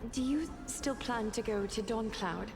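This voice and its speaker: An actress playing a composed, elegant, quietly caring seamstress in a video game, speaking in a measured, restrained way. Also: female voice, fairly high pitch. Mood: quiet concern. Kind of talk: soft dramatic video game voice acting. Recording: music over the voice.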